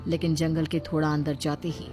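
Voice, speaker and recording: A woman's voice: low voice, level tone, fairly quick, music in the background. A woman reading scripted narration for a cartoon horror tale as its storyteller.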